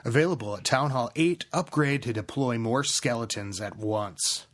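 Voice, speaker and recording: A man's voice: mid-range voice, moderate pace. A man co-hosting a casual gaming podcast, rambling and restarting his sentences as he chats. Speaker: podcast co-host. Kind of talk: casual chat.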